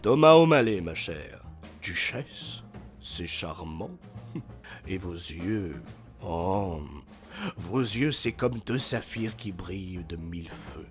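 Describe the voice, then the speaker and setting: Man as a low, flirtatious cartoon tomcat. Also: animated, slow pace, faint music behind. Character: smooth-talking flirtatious tomcat charmer, cartoon.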